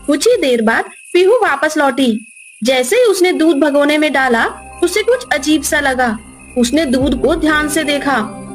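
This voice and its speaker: A woman narrator reading a scripted children's moral story. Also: high-pitched.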